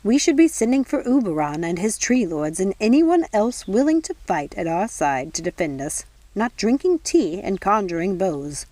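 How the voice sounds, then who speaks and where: A woman's voice: mid-range voice, big pitch swings, moderate pace, hardly any pauses, dark tone, no room sound. Brief: storybook nanny of fairy stock, old, no-nonsense, firm and exasperated, recorded dry a woman narrator acting. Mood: grave, firm. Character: stern, sensible, impatient old fairy nanny.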